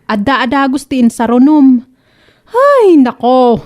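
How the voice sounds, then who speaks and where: A woman plays a sharp-tongued accuser from a comedy radio drama. She has a high voice and a reproachful, taunting way of telling people off. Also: very animated, slow, heavily acted. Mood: indignant, taunting.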